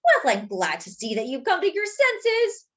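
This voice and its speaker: Woman voicing an excitable high-pitched little doughnut. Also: normal speed, heavily acted. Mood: relieved. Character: talkative little male doughnut, eager, dramatic, easily wounded.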